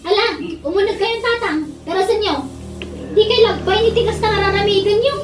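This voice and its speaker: High female voice, acted scolding village mother, Ilocano accent, exclamatory, comedy radio drama, noisy audio. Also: fairly quick. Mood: agitated, shouting. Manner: nagging, exclamatory, argumentative.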